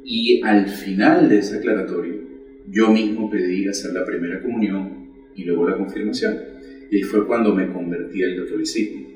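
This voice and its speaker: Man in his forties, low-pitched. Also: darkish tone, reverberant, far from the mic, faint music behind, rough recording. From Venezuela. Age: 40s. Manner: earnest, measured storytelling with pauses. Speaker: catechist guest. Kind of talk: personal faith testimony.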